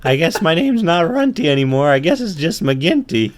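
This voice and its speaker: A man plays an ant growing up too quickly in an improvised comic tale. The voice sits a little high, earnest and wondering, with an anxious urgency about life. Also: big pitch swings, crowd noise. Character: fast-maturing male ant, earnest, anxious seeker of meaning.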